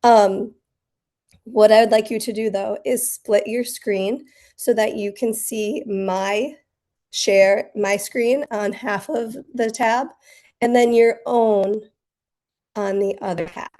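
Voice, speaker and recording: An American woman with a raspy, hoarse voice from the flu, teaching a bookkeeping webinar in a friendly, chatty way with many fillers. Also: a little high, fairly even intonation, takes it slowly, dark tone. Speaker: bookkeeping instructor. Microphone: computer mic on a video call.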